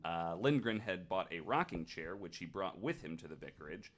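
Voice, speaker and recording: A man's voice: low voice, lively intonation, normal speed, fairly bright tone. A man retelling ghost stories as a podcast host, in a casual, joking way.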